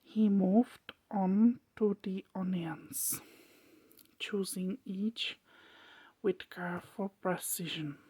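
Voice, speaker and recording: A woman narrating a story as ASMR in a soft, hushed whisper, breaking it into short, deliberate phrases. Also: mid-range voice, fairly even intonation, slightly rough.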